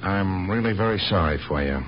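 An actor playing a calm, regretful police lieutenant in a radio drama, questioning in a measured, sympathetic way. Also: male voice, sits low, close to an everyday voice. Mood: regretful, probing. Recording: muffled, hissy old radio broadcast.

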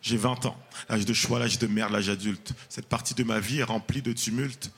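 A man in his thirties speaks into a handheld mic on stage, his voice lowish and husky. He chats loosely with the crowd first, then moves into a rhythmic, rhyming spoken-word recitation. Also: steady tone, moderate pace, fairly bright tone. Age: 30s. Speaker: comedian and performer.

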